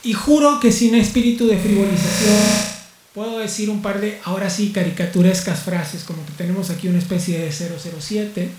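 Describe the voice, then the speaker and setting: A woman moderating a seminar panel, introducing the speakers in a formal, measured way. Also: mid-range voice, a little mellow, soft background music. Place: seminar hall. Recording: echoey hall with faint hiss.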